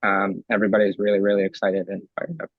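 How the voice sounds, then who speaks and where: A young man from Eastern Pennsylvania, a convention delegate whose voice is raspy from screaming, answering eagerly with many ums in a remote interview. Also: fairly low pitch, completely flat delivery, fast, dry recording, narrow, tinny audio. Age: young adult. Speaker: convention delegate and law student.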